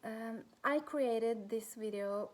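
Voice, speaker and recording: A woman in her thirties with a Norwegian accent speaks in a soft, hesitant way, often pausing to search for the right English word. Her delivery is unhurried and slow. She addresses viewers directly in a personal vlog. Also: on the high side. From Norway. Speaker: spiritual coach.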